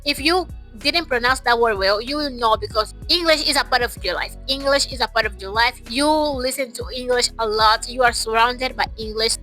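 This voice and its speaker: A woman speaks in a high, very bright voice. She talks casually to her viewers in a motivational, emphatic way, often repeating phrases to stress them. Music plays softly behind her. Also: poor audio. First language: Portuguese. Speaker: English-learning YouTuber. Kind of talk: casual motivational talk to camera.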